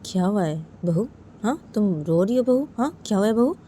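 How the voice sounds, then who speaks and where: A woman's voice: medium pitch, expressive, brisk, dark tone, slight background noise, pitched above the performer's own voice, close to an everyday voice. A woman voicing a concerned aunt-in-law in a family story, persistently questioning and coaxing in repeated phrases. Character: concerned, nagging aunt-in-law, an older female relative.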